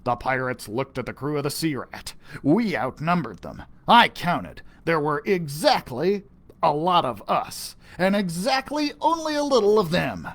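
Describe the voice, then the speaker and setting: A man reading a children's storybook aloud, playful and animated, dropping to dramatic whispers. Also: on the high side, unhurried.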